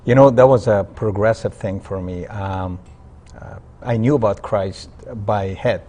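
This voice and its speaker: A man from Afghanistan, a guest on a religious talk program, telling his conversion story earnestly, halting with fillers and restarts. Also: lowish voice, warm tone. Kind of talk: interview testimony.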